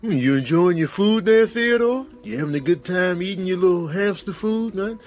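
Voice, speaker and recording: A man's voice: normal speed, darkish tone, soft background music, lightly acted. A man voicing a sly predatory cat who feigns friendliness, in a comic animal voice-over. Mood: falsely friendly. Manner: swings from creepy low purr to hasty backtracking.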